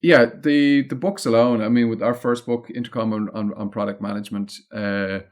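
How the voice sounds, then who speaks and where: A man's voice: medium pitch, steady tone, normal speed. An Irish man speaking as an interview guest, casually and discursively, thinking aloud as he goes. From Ireland. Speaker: podcast guest, content marketing consultant.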